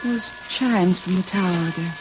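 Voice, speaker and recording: A woman's voice: lowish voice, normal speed, close to an everyday voice. An actress playing a sorrowful, resigned mother in 1940s radio drama style. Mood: wistful. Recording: muffled old radio broadcast, music bed.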